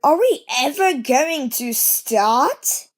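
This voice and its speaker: A woman voicing an eager, polite little male steam engine with excitable, childlike exclamations in a storybook. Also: sits high, extremely slow, pitched above the performer's own voice, heavily acted. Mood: anxious, cross.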